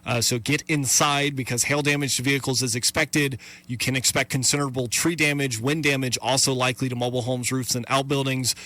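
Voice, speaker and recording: A man's voice: mid-range voice, steady tone, fairly quick, few pauses, bright, forceful tone. A man hosting live radio severe weather coverage, reading warnings, place names and safety instructions in a matter-of-fact, urgent way.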